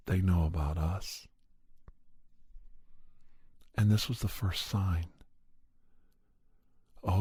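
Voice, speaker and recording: A man with a soft, breathy, raspy voice telling horror stories in a hushed, deliberate ASMR style, close to the microphone. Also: low voice, no echo. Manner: slow, hushed, deliberate delivery. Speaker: podcast host and storyteller. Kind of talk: soft ASMR first-person horror storytelling.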